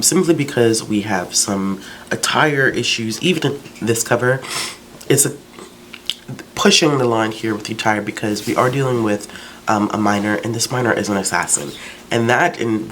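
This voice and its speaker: A man with a low, deep voice talks through his recent reads in an easygoing, chatty way, often pausing on "um" and "like". The recording has a faint hiss of background noise. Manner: chatty, relaxed, with fillers like "um" and "like". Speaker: book vlogger. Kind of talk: casual book review vlog.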